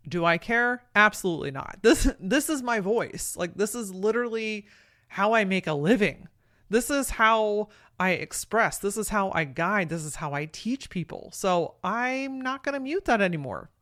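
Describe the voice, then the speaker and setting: A woman hosting a podcast, talking frankly and encouragingly in a casual way with a deep voice. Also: fairly bright tone. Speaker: podcast host. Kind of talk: solo podcast talk, motivational.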